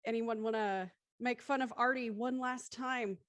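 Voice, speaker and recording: A woman's voice: on the high side, steady tone, measured pace, no echo. A woman hosting and moderating a casual group discussion. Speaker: host and moderator of a cast discussion.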